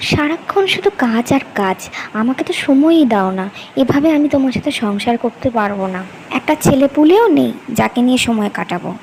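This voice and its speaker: A woman's voice: sits high, lively intonation, brisk, vocal fry, a little mellow, a little hiss or hum, poor audio. A woman playing a neglected, bitterly complaining wife in a cartoon story, nagging and aggrieved. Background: music.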